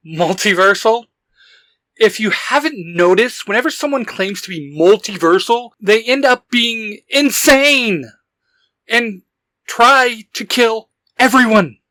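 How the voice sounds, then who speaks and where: A woman voicing a sarcastic, distrustful, hot-headed male team leader in a dramatic audiobook, loud and sneering. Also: a little high, lively intonation, slow, no room sound. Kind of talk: dramatic audiobook character acting.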